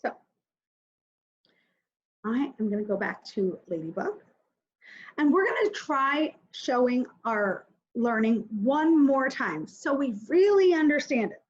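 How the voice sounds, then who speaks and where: A woman with a fairly high, very animated voice teaches a reading mini-lesson to kindergarteners. She talks slowly in simple words and puts questions directly to the children. The recording is a little dull and lo-fi. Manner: simple, instructive, addresses children directly with questions and pauses to think aloud.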